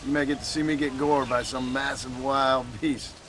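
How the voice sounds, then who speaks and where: A man's voice: medium pitch, little pitch movement, normal speed, a bit bright, natural voice. An actor playing a laconic, dryly joking rancher and family man in a Western game, with a rural American accent. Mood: dry, easygoing. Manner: dry, understated. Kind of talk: dramatic game cutscene acting. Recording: outdoor noise, birds and animals behind.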